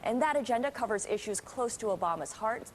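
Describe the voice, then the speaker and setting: A woman's voice: a little high, animated, brisk, slight background noise. A woman reporter delivering scripted news narration. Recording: music over news clip. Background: music.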